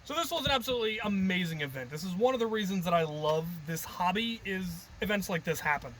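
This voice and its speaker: A man's voice: sits high, expressive, fast, a crowd in the background, rough recording. A man telling stories in a casual, rambling vlog style, thinking aloud with a jokey, self-deprecating manner. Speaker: hobby video creator and host.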